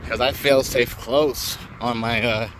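A man's voice: mid-range voice, takes it slowly, noisy background. An older man chatting casually as he walks through an open field at dusk, filming himself with a phone held at arm's length. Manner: relaxed, chatty, colloquial. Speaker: drone hobbyist vlogger. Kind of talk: casual walk-and-talk vlog.

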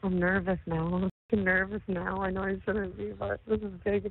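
Through a tinny, noisy phone line, a woman talks quickly in a creaky voice. Her delivery is intimate and casual, reassuring and repetitive. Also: mid-range voice, flat delivery. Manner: reassuring, repeats promises. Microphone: telephone.

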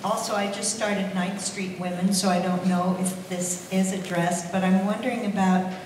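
A woman's voice: medium pitch, steady tone, normal speed, a little mellow, a little hiss or hum. A woman in the audience asking a searching, hesitant question. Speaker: audience member. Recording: echoey hall from far off.

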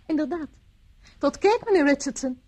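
A woman's voice: high-pitched, animated, normal speed. An actress from the Netherlands playing a charming, teasing young society woman in a radio drama. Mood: coy, teasing. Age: young adult. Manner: teasing, polite, airy.

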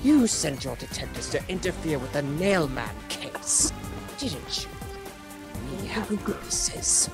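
A woman's voice: low-pitched, very animated, slow. A woman playfully reading game lines aloud among friends. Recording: loud music behind the voice. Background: game music.

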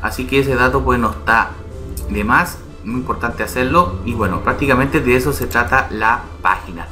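Bright-voiced man walking through a tutorial. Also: mid-range voice, normal speed, soft background music. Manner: explains step by step, often asking "¿ya?" and "¿vale?". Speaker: YouTube channel host. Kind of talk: casual screen-recorded tutorial.

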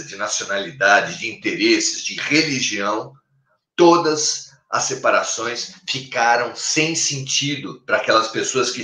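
A man in his fifties with a Brazilian accent, giving a spiritual talk on a live video call with a preacher's cadence. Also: mid-range voice. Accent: Brazilian Portuguese. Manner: expressive, gestures widely, preacherly cadence. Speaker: spiritist speaker. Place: home room, indoors. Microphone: webcam on a video call. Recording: echoey room.

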